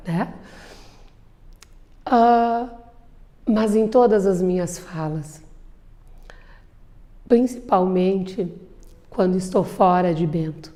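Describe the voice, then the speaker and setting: A woman's voice: medium pitch, mellow. A woman, a lawyer speaking earnestly in an interview. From southern Brazil. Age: 50s. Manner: earnest, emphatic. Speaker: lawyer and businesswoman. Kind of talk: interview answer.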